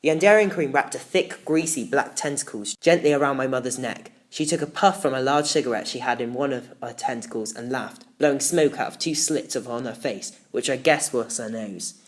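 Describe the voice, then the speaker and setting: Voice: mid-range voice, fairly quick, hardly any pauses. A narrator reading a first-person children's story as a scripted audiobook.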